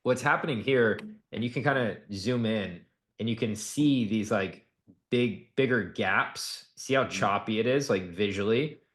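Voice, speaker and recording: A man's voice: lowish voice, measured pace, fairly bright tone. A man giving a direct, casual coaching breakdown of a sales call, instructing and demonstrating as he goes. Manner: direct, instructive, casual, acts out example lines.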